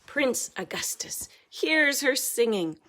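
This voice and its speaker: Slow, very animated woman reading a storybook. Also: high voice. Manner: animated, dramatic storytelling with drawn-out words. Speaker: storybook reader. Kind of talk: expressive read-aloud of a children's story.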